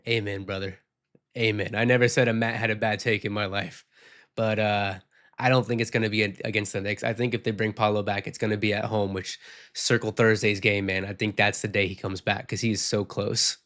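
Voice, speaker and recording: A man's voice: fairly low pitch, level tone, fairly quick, fairly bright tone. Man with a tired, sick voice. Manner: frank, rambling, reads viewer comments aloud. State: sick, losing his voice. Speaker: podcast host. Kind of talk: casual postgame chat reading comments.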